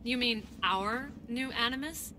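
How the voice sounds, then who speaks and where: An actress playing a concerned, sceptical woman doctor in a video game, measured and questioning. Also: a little high, lightly acted. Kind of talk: video game cutscene acting.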